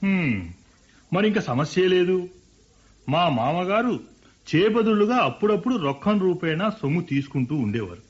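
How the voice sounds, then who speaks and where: A proud, emotional farmer son-in-law, higher voice, husky, lo-fi radio. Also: male voice, very animated, normal speed, exaggerated voice. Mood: earnest. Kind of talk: 1970s-style radio play acting. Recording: dull lo-fi radio broadcast.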